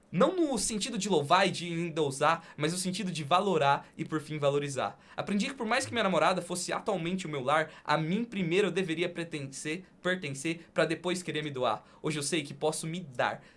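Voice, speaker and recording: A man with a somewhat high-pitched voice hosts a podcast. His delivery is deliberate and conversational, leaning poetic, with emphatic pauses between phrases. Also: fairly bright tone. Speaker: podcast host. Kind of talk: conversational hosting and spoken-word poem recital.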